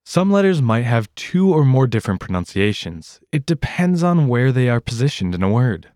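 American male podcast host, animated pitch, warm mellow voice, scripted lesson. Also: moderate pace, no echo, clean studio sound. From United States.

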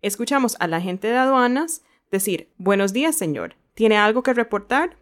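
A woman's voice: a little high, lively intonation, moderate pace. Woman hosting a language lesson. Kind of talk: bilingual lesson presentation and casual chat.

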